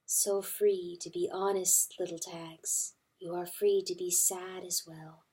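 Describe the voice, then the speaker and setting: A woman doing a soft-spoken motherly hen. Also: fairly high pitch, measured pace, distant mic, lightly acted, poor audio. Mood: soothing. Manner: soft, murmuring, soothing. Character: gentle motherly hen, soothing.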